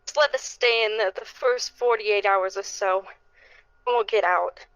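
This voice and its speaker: A woman playing a desperate, pleading neighbour and parent in a radio play, with halting, stammering delivery. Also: medium pitch, fairly even intonation, fairly bright tone, dry recording, dull audio, close to an everyday voice. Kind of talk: dramatic radio play acting.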